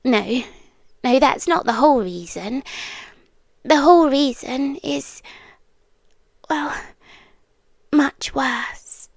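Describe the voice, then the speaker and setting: A British woman playing a possessive demon girlfriend in an ASMR roleplay, halting and breathy, eerily tender and menacing, close on the mic. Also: high-pitched, no room sound, heavily acted. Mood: eerie, confessional. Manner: breathy whispering, halting, drawn-out delivery. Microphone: close, dry ASMR microphone.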